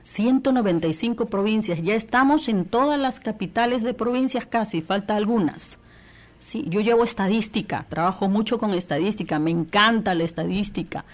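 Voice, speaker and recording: A woman's voice: mid-range voice, normal speed. A woman from Peru, a church radio coordinator, giving an earnest interview testimony with many restarts and fillers.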